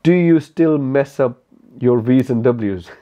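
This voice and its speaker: A man in his forties with an Indian English accent, speaking candidly to camera in a casual question-and-answer video, halting with many fillers. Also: medium pitch, mellow. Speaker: motorcycle YouTuber. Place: small cozy room indoors. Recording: slightly dull lo-fi audio.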